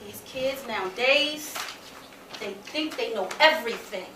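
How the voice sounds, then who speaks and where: A woman's voice: on the high side, lively intonation, a little slow. An American woman playing a stern, domineering mother on stage, scolding in an emphatic, dismissive manner. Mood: scornful. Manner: scolding, emphatic, rhetorical questions. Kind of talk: stage play acting. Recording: echoey stage, distant mic, faint noise.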